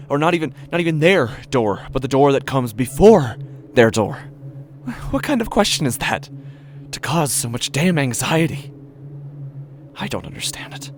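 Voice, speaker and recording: A man playing an anxious, obsessive apartment dweller in a horror audio drama monologue, with a gravelly, often breathy voice and intense, deliberate delivery. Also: background noise, lightly acted. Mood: frantic, anxious. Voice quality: low, gravelly, often breathy, dropping to whispers. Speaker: horror fiction narrator.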